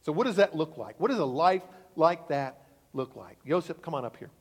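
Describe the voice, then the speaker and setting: Impassioned pastor preaching in a reverberant hall. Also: male voice, sing-song, moderate pace, husky. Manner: impassioned preaching, climbing in pitch and volume. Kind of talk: sermon. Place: church sanctuary.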